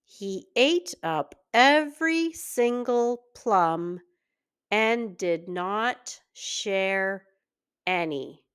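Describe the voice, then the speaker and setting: Very slow, lively woman reading to children. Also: mid-range voice, clean tone. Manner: deliberate, word-by-word phrasing for early readers. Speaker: children's story reader, reading teacher. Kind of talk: slow read-aloud children's storytelling.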